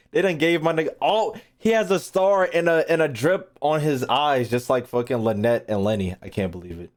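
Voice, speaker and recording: A man's voice: on the high side, animated, moderate pace. A man giving casual, slangy, profane reaction commentary as a gaming video host, often with short muttered remarks.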